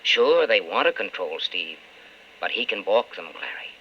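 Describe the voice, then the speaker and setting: A man's voice: on the low side, big pitch swings, moderate pace. An actor playing a principled, wistful man in love, speaking earnestly in an old-time radio drama. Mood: earnest, urgent. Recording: muffled old radio broadcast with hiss.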